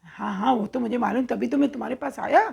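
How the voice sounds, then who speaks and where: A woman's voice: fairly high pitch, fast talker, vocal fry, darkish tone, slightly rough, full character voice. A woman voicing a friendly male pigeon leader in a children's story, coaxing and earnestly pleading with a friend. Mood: reassuring.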